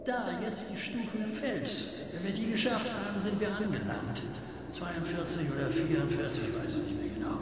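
An actor playing an earnest, protective old country neighbour in a radio play, speaking in a measured, deliberate way. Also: male voice, high voice, heavy background noise, natural voice. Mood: calm, instructing. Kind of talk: radio play acting and first-person narration.

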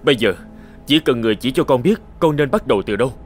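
A man playing an earnest, determined young immortal god in an animated myth, solemn and resolute. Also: mid-range voice, fast, soft background music, close to an everyday voice.

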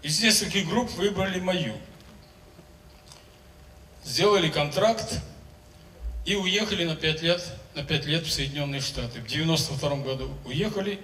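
A man in his seventies, a singer, telling his story on a concert stage into a handheld microphone, in a measured, declamatory way. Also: on the high side, bright, forceful tone, a little hiss or hum. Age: 70s. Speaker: singer giving a testimonial on stage. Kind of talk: stage speech to an audience. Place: large concert hall stage. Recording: roomy hall sound from a distance.

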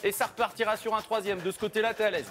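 A man's voice: high-pitched, fairly even intonation, rapid-fire. A man coaching in an indoor sports hall, giving instructions and bantering casually. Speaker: tennis coach. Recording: music over the voice.

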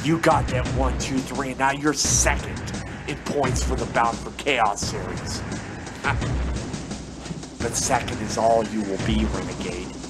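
A man delivering a scripted trash-talk wrestling promo, swinging between loud shouted taunts and low muttering, recorded close on a webcam indoors. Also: steady tone, unhurried. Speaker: hobby wrestler in character. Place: indoor room with window blinds. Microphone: webcam close to face. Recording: music clearly playing behind.

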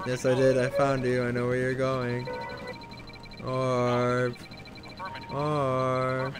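A man's voice: medium pitch, monotone, very slow. Playful young man teasing on voice chat. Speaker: gamer. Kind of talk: casual game chatter over voice chat. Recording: game music behind the voice.

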